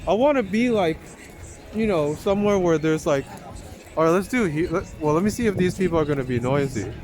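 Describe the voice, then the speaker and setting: A man's voice: a little high, animated, fairly quick, crowd noise. A man conducting an informal interview outdoors, asking questions in a chatty, improvised way. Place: outdoors in a city.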